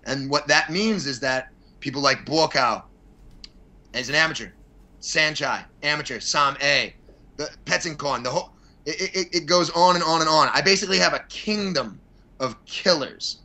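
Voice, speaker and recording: A man with a bright, forceful voice talks as a guest in a casual interview. He rambles freely, states his opinions bluntly and lets mild swearing slip in. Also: fairly high pitch, moderate pace. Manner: blunt, opinionated, mildly profane. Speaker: podcast guest, fight promoter.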